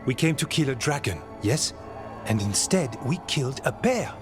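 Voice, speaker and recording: An actor voices a dour, dutiful warden in a fantasy game. The man has a medium-pitched voice with clear music behind it. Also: big pitch swings, moderate pace, natural voice. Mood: dry, dutiful. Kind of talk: video game dialogue.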